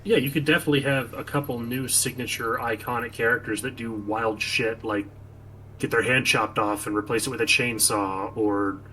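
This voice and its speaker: A man's voice: medium pitch, fairly even intonation, moderate pace, pushed and bright, low-quality recording. Male co-host, casual movie commentary, faint background noise and ambience. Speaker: stream co-host. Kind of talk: casual film commentary chat.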